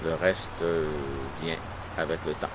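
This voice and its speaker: A man giving an unscripted personal statement on his political views, with halting, deliberate phrasing. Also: rumbling low, flat delivery. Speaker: local resident giving a personal testimonial. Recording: clear outdoor nature noise, insects.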